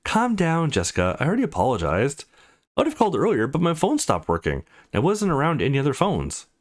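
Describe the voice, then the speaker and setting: A man's voice: fairly high pitch, wildly swooping pitch, fast, voice pushed up. Guy doing a serious teen boy in a visual novel, earnest n defensive, voice moves around a lot. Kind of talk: visual novel character reading.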